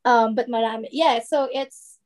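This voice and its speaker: A woman's voice: on the high side, steady tone, moderate pace, a little mellow. A woman in her forties from the Philippines with a Tagalog accent, co-hosting a podcast in casual chat, laughing often and slipping into Tagalog phrases. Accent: Filipino Tagalog. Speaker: podcast co-host.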